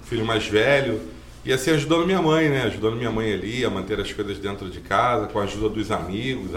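A man's voice: on the low side, fairly bright tone, slight background noise. A man, a podcast guest telling his life story in a rambling, earnest way with lots of filler tags. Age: 40s. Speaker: guest, driving school owner. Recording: roomy podcast room.

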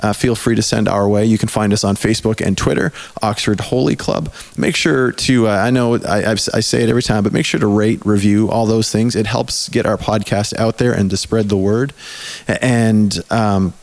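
A male podcast host talks in a loose, easygoing way, often restarting his sentences. His voice is hoarse and nearly gone, which leaves it sitting a little low. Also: fairly even intonation, moderate pace. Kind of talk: casual podcast chat.

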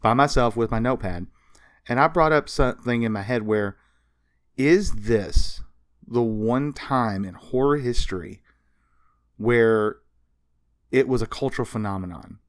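A man's voice: mid-range voice, unhurried, pauses often, no room sound, studio quality. A man co-hosting a podcast in casual chat, talking with a fan's enthusiasm. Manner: enthusiastic self-described history nerd. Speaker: podcast co-host.

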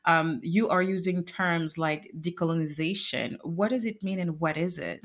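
A woman's voice: lowish voice, normal speed. A woman hosting a podcast interview, introducing her guest effusively and listening with frequent affirmations. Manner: effusive, warm praise, frequent "mm-hmm". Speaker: podcast host.

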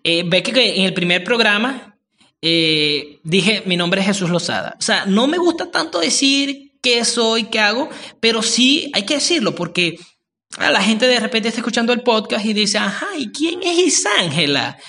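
Man, podcast host, bright tone, rambling, halting with fillers. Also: sits high, very animated. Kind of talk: casual podcast interview.

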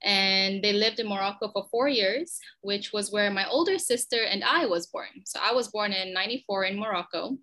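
A young Malaysian woman in her twenties, answering as a podcast guest in a chatty, easygoing way. Her voice is bright and clear, at an ordinary pitch, and she often stops to restart a sentence. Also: moderate pace, hardly any pauses. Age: 20s. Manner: chatty and lively, with frequent ums and restarts. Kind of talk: conversational interview answers.

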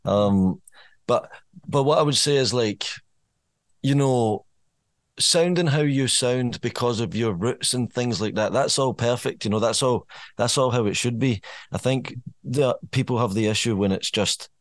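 A man's voice: mid-range voice, unhurried, dry recording. A Scottish rapper talking as a podcast guest, thoughtful and frank, rambling a little as he works through his views. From Scotland. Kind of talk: casual podcast chat.

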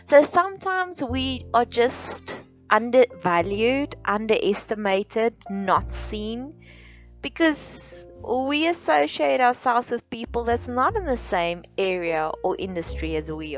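A woman from South Africa hosts her own podcast in English with an Afrikaans accent. She speaks very slowly and deliberately, sometimes restarting her phrases. The recording sounds a little dull, with faint music underneath. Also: medium pitch. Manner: slow, careful, halting delivery with restarts. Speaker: podcast host. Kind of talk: solo podcast monologue.

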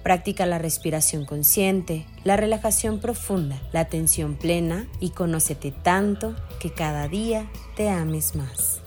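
A woman narrating a guided meditation in a calm, instructional manner with long pauses between short phrases. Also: lowish voice, music in the background. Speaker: meditation guide, podcast host.

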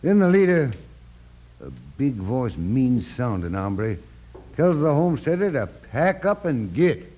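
Radio western homesteader: grim, folksy drawl, dark tone, lively delivery, muffled vintage recording. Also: male voice, mid-range voice, a little slow. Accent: American Western drawl. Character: plainspoken, grim frontier homesteader leader. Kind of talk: old-time radio western acting. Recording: dull, lo-fi old radio recording.

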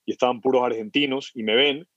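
Relaxed man from Ecuador, muffled sound. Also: medium pitch, quick pace, dry recording. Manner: relaxed, repeats words while thinking. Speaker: startup founder, podcast guest. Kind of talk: casual interview conversation. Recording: dull muffled sound, dry.

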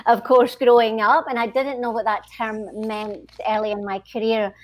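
A woman's voice: on the high side, flat delivery, low-quality recording. Scottish woman, thoughtful interview guest. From Scotland. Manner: thoughtful, frequent "um" pauses and repeated starts. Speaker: podcast guest, HR leadership consultant. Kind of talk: conversational interview.

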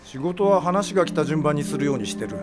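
An actor in a radio drama playing a crude, proud old film director, curt and teasing, with a gravelly voice. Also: male voice, fairly high pitch, expressive, brisk, over music, close to an everyday voice. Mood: firm. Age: older. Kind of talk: Japanese radio drama acting.

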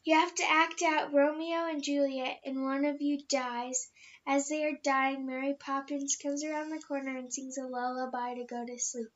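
A girl co-hosting an improv comedy podcast, reading out requests and reacting in a dry, low-key way. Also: flat delivery, moderate pace, highs cut off. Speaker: podcast co-host.

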